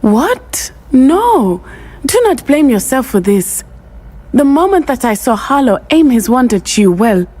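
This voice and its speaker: Earnest young witch, slightly husky. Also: sits high, sing-song, mellow, exaggerated voice. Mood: earnest, emotional. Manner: formal, measured phrasing without contractions. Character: earnest, loyal teenage witch student recovering from injury. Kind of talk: video game dramatic acting.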